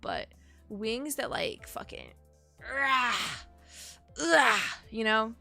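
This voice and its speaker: A woman's voice: on the high side, extremely slow, vocal fry, bright, forceful tone, faint music behind. A woman streamer chatting casually with her audience while sick, nasal and sniffly, rambling and swearing. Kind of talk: casual live-stream chat.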